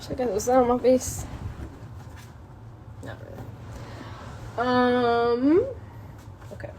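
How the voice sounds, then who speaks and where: A woman on a casual solo livestream, halting and thinking aloud as she chats and reads from a book. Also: fairly high pitch, fairly even intonation, darkish tone, poor audio. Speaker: social media live streamer. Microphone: phone propped up in a window. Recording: clear background noise, ambient sound.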